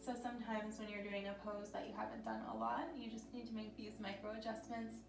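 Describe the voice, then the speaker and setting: A woman teaching a yoga class, giving gentle, steady cues with a slightly scratchy voice and clearing her throat now and then. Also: medium pitch, brisk. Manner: calm, gentle cueing with frequent throat clearing. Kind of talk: guided yoga instruction. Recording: roomy echo from a distant mic with background music. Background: soft music.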